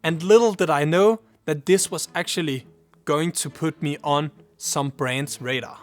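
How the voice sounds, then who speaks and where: A man's voice: a little high, measured pace, faint music behind. A young man from Denmark, a native Danish speaker, giving earnest scripted advice to camera about starting a channel. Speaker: YouTuber. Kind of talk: scripted vlog talk to camera.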